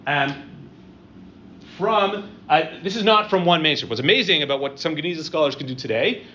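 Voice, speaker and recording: A middle-aged man lecturing to a live audience, conversational and halting, with lots of "um" and "right?" checks. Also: on the high side, animated, bright, forceful tone, slight background noise. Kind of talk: university-style lecture with audience questions. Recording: dull lo-fi room recording with faint ambience.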